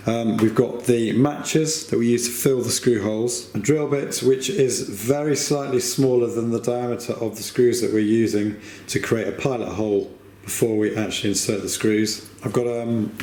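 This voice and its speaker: A British man hosting a DIY tutorial, a little hoarse as he is losing his voice, chatty and plain as he explains each step with small asides. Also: on the low side, a little mellow, reverberant, slight background noise. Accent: British English. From United Kingdom. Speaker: DIY video host. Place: home workshop or kitchen.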